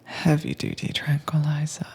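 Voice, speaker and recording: A woman performing a whispered roleplay as a calm, commanding agent who keeps a werewolf caged, speaking in a breathy, teasing manner close to the mic. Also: deep voice. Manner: cool, teasing, unhurried. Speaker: audio drama voice actress. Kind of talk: soft-spoken whispered roleplay audio.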